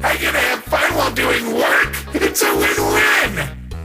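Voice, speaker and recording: A chaotic male cartoon skeleton with a gravelly, creaking voice. His pitch lurches wildly as he taunts at a slow, stretched-out pace. Music plays over it. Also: sing-song, exaggerated voice. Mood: gleeful. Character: gleeful chaotic glitchy skeleton troublemaker, male. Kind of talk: cartoon comic dub acting.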